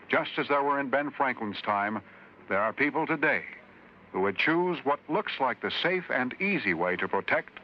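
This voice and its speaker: A man reading a formal, solemn scripted message as a 1950s radio announcer. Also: mid-range voice. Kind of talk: 1950s scripted public service narration. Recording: muffled, faintly noisy old radio recording.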